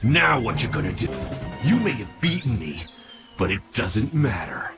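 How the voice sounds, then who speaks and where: A vengeful super-powered villain taunts. His voice swings dramatically in pitch, cartoon game style, over a clear music bed. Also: big pitch swings, normal speed. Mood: gloating, menacing. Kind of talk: animated game cutscene acting. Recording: loud game music underneath.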